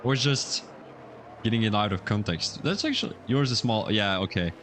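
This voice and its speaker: A man's voice: lowish voice, moderate pace, a little hiss or hum. Chatty young man commentating a sim race. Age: young adult. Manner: chatty and rambling, turns excited when calling incidents. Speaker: sim racing league commentator. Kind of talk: casual livestream race commentary.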